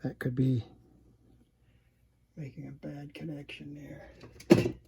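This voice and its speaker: A man giving casual, unscripted repair commentary at a workbench, halting and muttering to himself as he works. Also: fairly high pitch, level tone, creaky, warm tone, slight background noise. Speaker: host demonstrating electronics repair.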